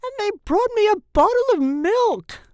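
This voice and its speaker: A woman's voice: very high-pitched, expressive, normal speed, darkish tone, no room sound, clean studio sound, voice pushed up. A woman voicing a querulous seventy-year-old newborn man in a storybook, with a weak, cracked, whining voice. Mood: whining protest. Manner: complaining, querulous.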